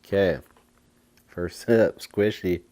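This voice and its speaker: Deep, mellow-voiced man chatting casually. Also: slow. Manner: laid-back, slangy, playful wordplay. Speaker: food and lifestyle vlogger. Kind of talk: casual eating vlog chat to viewers.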